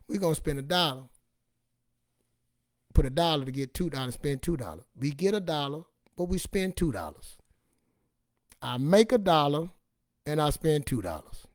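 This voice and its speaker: A raspy-voiced man giving an informal, emphatic personal testimony in a streetwise, repetitive way, acting out bits of dialogue as he goes. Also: on the high side, very animated, normal speed, long pauses, a little mellow, dry recording, clean studio sound. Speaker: online motivational and faith speaker.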